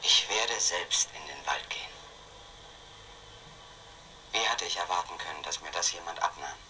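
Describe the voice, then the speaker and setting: An obsessed, brooding man tells his story in a radio drama. The voice is lowish and very forceful, intense and self-questioning, over faint noise. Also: fairly quick, low-quality recording. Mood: grim, resolved. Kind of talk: first-person radio play narration.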